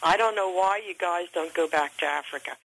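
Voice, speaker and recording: A woman's voicemail, heard over a telephone line. Her voice is husky yet sharp and forceful, and she speaks in an accusatory, aggrieved manner. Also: lowish voice, fairly quick. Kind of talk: voicemail monologue.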